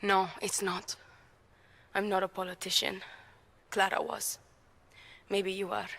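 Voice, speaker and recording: A woman's voice: medium pitch, monotone, unhurried, fairly bright tone, natural voice. A woman playing a tough, protective young guerrilla in a game cutscene, speaking bluntly and urgently. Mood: firm. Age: young adult. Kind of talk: dramatic video game cutscene acting.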